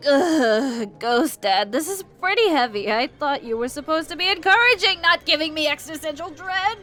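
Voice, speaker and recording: A woman voicing an eccentric young inventor in an animated comedy, gushing, slangy and excitable. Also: high voice, normal speed, faint music behind. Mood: exasperated.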